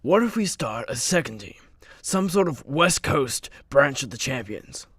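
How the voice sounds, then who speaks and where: A man plays a blunt, easily exasperated superhero judge in a radio comedy. The voice is mid-pitched and recorded dry. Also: sing-song, a little slow, fairly bright tone, breathy edge, no room sound, heavily acted. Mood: enthusiastic. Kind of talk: comedy radio play acting.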